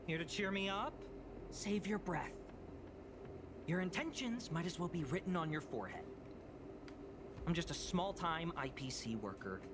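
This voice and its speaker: A man voicing a jaded, whiny young office worker in a video game, complaining with exasperated drama. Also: sits high. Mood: glum, defensive. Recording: game audio with clear background noise.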